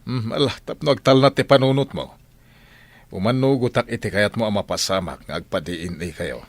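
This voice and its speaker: A man's voice: fairly low pitch, brisk, dry recording. A man acting a concerned male character in a Filipino family radio drama.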